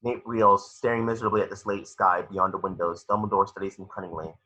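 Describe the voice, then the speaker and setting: A man's voice: deep voice, steady tone, quick pace, bright, forceful tone, slightly rough, poor audio. A man reading scripted screenplay stage directions as narrator of a table read.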